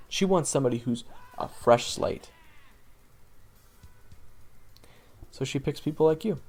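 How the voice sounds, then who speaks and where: A man playing a seasoned monster hunter and blunt mentor to a rookie in an audio roleplay, speaking conversationally with halting, drawn-out pauses. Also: medium pitch, warm tone, lightly acted. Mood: exasperated, blunt. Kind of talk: audio roleplay acting addressed to the listener.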